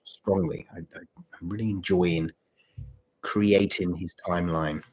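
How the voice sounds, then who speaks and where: Male streamer, fairly deep and rough-edged voice with a touch of sore throat, halting, informal, repetitive chat. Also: fairly even intonation, a little mellow, rough recording. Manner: halting, repeats phrases. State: mild sore throat, voice deeper than usual. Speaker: channel host and story writer. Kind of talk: casual livestream chat with viewers.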